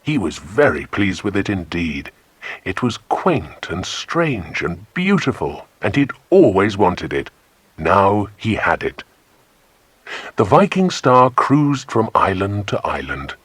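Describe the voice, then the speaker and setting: A man's voice: lowish voice, very animated, slow, a bit bright, husky, no echo. A man narrating a children's adventure story from a script as an audiobook.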